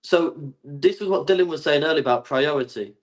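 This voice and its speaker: A man's voice: a little high, brisk, low-quality recording. A man from England arguing his side in a heated debate, stammering and repeating his words. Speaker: guest.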